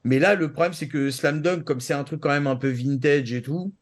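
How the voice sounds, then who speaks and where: A man's voice: fairly high pitch, moderate pace. A man giving his opinions as a panelist in a casual talk show, rambling and slangy, restarting phrases for emphasis.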